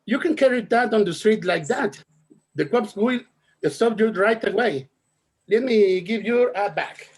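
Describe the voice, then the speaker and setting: A man's voice: high-pitched, unhurried, slightly rough, low-quality recording. A man playing a suspicious, middle-aged liquor store owner in a screenplay table read, curt and blunt. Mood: warning. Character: suspicious fifty-five-year-old male liquor store owner.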